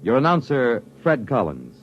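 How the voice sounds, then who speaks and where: A male announcer reads formally in vintage radio style. His mid-pitched voice projects with plenty of melodic movement. The old recording sounds dull. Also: wildly swooping pitch, moderate pace, soft background music. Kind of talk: 1950s radio announcing, scripted credits.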